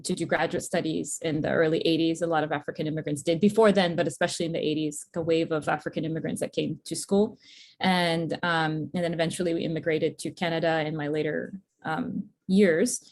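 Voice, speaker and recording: A woman from Zambia, a panelist telling personal stories in a casual panel discussion over a video call. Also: sits low.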